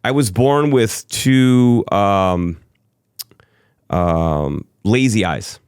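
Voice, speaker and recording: A man's voice: lowish voice, very slow, darkish tone, clear, resonant tone, dry recording, studio quality. A middle-aged man with a mixed East Coast and Midwest American accent, telling long personal stories as a podcast guest, rambling with frequent ums and restarts. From Chicago area, USA. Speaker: interview guest. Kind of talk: candid storytelling conversation.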